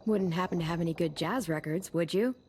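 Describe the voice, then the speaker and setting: Low-voiced, caring teenage girl leader. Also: a little fast, darkish tone, husky. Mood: warm, wry. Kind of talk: naturalistic video game voice acting.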